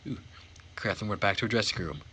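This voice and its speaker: A man reading a visual novel aloud as host and narrator, with measured, theatrical phrasing. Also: lowish voice, sharp tone, a little hiss or hum. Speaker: let's play host and narrator.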